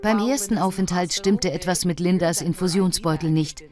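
A woman acting as a female interviewee commenting on a criminal case. Her dubbed documentary voice sits fairly low, over faint music. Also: moderate pace, darkish tone, close to an everyday voice. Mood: matter-of-fact.

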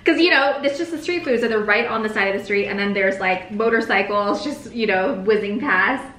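A young woman from the United States co-hosting a food vlog, chatting casually at the dinner table, laughing often and saying short phrases slowly for her partner to repeat. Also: mid-range voice, fairly bright tone. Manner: laughs often, teaches slow careful phrases. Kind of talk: casual chat. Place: bright dining room indoors, at a table. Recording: echoey room, faint background hiss.

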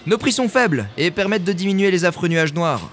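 A man's voice: sits high, lively intonation, fairly quick, a bit bright, faint music behind. A man playing a self-assured, eccentric tycoon-scientist in a comedic sci-fi radio play, pompous, theatrical and evasive. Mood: breezily confident.

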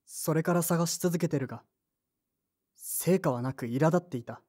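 A woman's voice: low-pitched, moderate pace, a little mellow, slightly rough. A woman voicing an earnest, anxious teenage boy in a radio drama, boyish and emotional with blunt speech. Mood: reflective narration. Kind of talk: radio drama acting with narration.

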